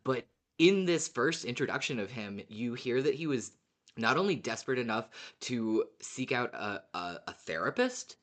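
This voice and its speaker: This is a podcast host in relaxed, casual fan discussion. The delivery is animated and unscripted, and swearing slips in freely. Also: mid-range voice, normal speed.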